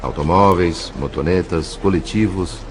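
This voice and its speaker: A man with a Brazilian accent narrating an audio novel in a measured, solemn, literary way. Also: deep voice, music in the background. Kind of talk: dramatic scripted narration.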